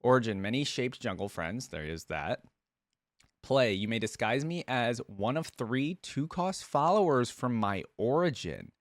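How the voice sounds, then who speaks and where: A man's voice: medium pitch, animated, measured pace, no room sound. A man hosting a reaction video, chatting casually and rambling his thoughts to viewers on a close mic. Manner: rambling, musing, addresses viewers.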